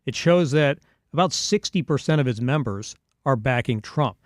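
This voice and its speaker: A man's voice: medium pitch, animated, moderate pace, no room sound. A man co-hosting a radio show, mixing news reading with casual commentary, close on a studio microphone. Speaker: radio co-host and news reader.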